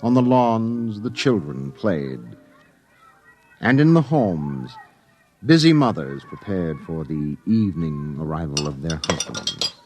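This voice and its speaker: A man playing the measured narrator of a 1950s radio drama, setting a scene in lyrical storybook fashion. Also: deep voice, lively intonation, over music. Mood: calm, lyrical.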